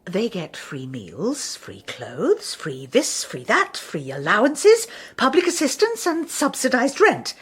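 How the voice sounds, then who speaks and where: An actress playing a prim, snobbish, disapproving veteran schoolteacher in a radio comedy, speaking in a clipped, deliberate, haughty way. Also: female voice, fairly high pitch, huge pitch swings, husky, heavily acted. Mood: scandalised disdain. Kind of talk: radio comedy drama acting.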